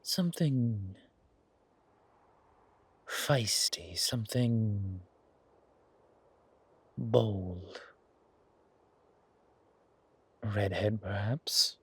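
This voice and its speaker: A man voicing an ancient, urbane vampire father, doting and predatory, with theatrical pauses in a horror audio drama. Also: fairly low pitch, fairly bright tone, breathy edge, no echo, full character voice. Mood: sly, hungry. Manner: slow, savoring, theatrical delivery with long pauses.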